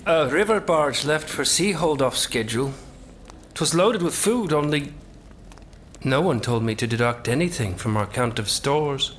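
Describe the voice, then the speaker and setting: A man's voice: mid-range voice, expressive, measured pace, a bit bright, slight background noise. A man playing an aggrieved garrison storekeeper in a fantasy audio drama, with old-fashioned phrasing. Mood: puzzled, aggrieved.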